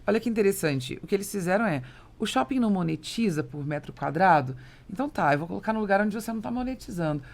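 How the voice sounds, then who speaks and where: A Brazilian woman hosts a conversational business podcast. She talks fast at an average pitch for a woman, with an emphatic, motivational delivery. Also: animated, gravelly. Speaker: podcast host, business owner.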